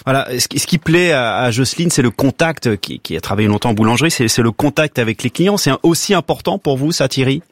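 A man hosting a radio phone-in, chatty and encouraging with quick affirmations. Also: medium pitch, expressive, dry recording, studio quality. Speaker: radio host.

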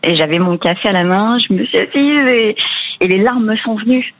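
Woman, radio caller, lo-fi phone sound, halting speech, many fillers. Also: medium pitch, no echo. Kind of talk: emotional call-in chat.

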